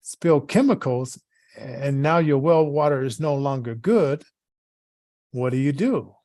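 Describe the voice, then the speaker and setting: A man hosting a casual radio talk show, speaking haltingly and often repeating words and phrases as he goes. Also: medium pitch, darkish tone, no echo, clean studio sound.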